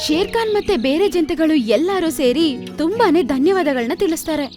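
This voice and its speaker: A woman's voice: sits high, expressive, normal speed, over music. A woman narrating a scripted animal story for children in a storyteller's style.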